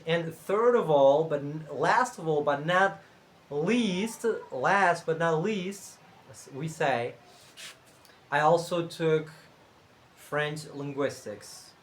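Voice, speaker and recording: A young man from Cuba with a Cuban Spanish accent, Spanish his first language, talking casually to camera as a channel host, unhurried and thinking aloud with fillers and restarts. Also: a little high, a little hiss or hum.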